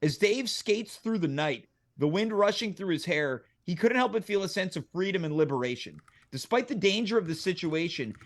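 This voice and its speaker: A man narrating stage directions and action from a movie script at a comedic table read. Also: on the high side.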